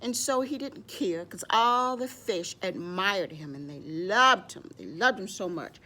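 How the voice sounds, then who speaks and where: A woman's voice: medium pitch, expressive, unhurried, bright, forceful tone. A woman hosting a children's library storytime, speaking to young children as she tells stories and shows crafts, often referring to herself in the third person.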